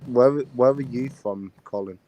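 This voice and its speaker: A man's voice: mid-range voice, measured pace, mellow, a little hiss or hum, low-quality recording. A man chatting on a stream, hesitant, stammering and restarting his questions. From United Kingdom. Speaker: stream guest. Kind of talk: casual live-stream chat.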